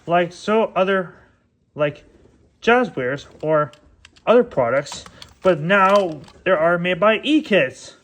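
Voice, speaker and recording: A man's voice: sits high, animated, slow, a bit bright. A man reviewing and unboxing toys in a casual, rambling way, often stumbling and correcting himself. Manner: rambling, stumbles over words and restarts often. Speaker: toy reviewer.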